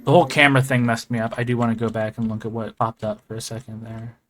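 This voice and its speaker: A man giving casual reaction commentary in a halting way, often repeating himself and mildly swearing. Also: mid-range voice, level tone, fairly bright tone. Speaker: reactor, video creator.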